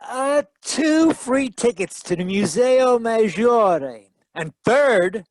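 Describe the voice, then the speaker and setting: A man playing a wry, breezy, smooth-talking private detective in 1940s radio style, with theatrical flowery phrasing. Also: very high-pitched, expressive, takes it slowly, dry recording, full character voice. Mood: playful.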